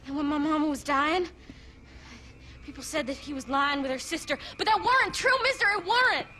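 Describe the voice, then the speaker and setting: A very high, bright little voice, a frightened country girl who has lost her family, sobbing and rambling on in plain rural talk. A light hiss of ambience sits under it. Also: a little fast. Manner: tearful, rambling, rural folksy speech. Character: frightened young girl, orphaned by the undead, rambling. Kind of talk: video game cutscene acting.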